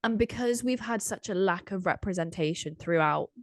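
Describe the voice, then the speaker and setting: A woman with a croaky voice, hosting a podcast interview and asking earnest, drawn-out questions. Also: mid-range voice, no room sound, clean studio sound. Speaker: podcast host.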